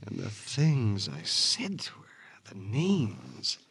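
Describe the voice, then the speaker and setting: A distraught, bitter husband in a vintage American radio drama. His husky voice swings widely in pitch as he agitatedly repeats himself. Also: huge pitch swings, slow, fairly bright tone, heavily acted. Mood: grieving, guilty. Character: distraught, guilt-ridden, bitter husband of a murdered woman.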